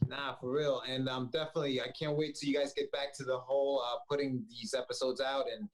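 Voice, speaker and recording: A man hosting a podcast, easygoing and quick to laugh, wrapping up a chat with guests. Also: medium pitch, little pitch movement, low-quality recording. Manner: easygoing, laughs often, frequent fillers. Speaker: podcast host. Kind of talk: casual chat.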